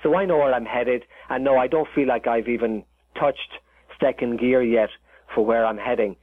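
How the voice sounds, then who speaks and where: A man's voice: medium pitch, steady tone, normal speed, no room sound. A man with an Irish accent, a chef talking as a guest in a casual interview, rambling with many restarts and fillers. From Ireland. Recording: tinny phone line.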